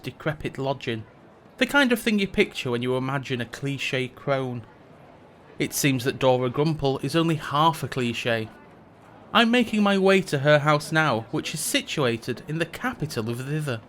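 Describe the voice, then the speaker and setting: A man's voice: medium pitch, a bit bright, a little hiss or hum, natural voice. A man playing a reluctant, sardonic male mage who hosts a tourism show, delivering scripted comic narration with dry, sarcastic asides. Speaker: podcast host.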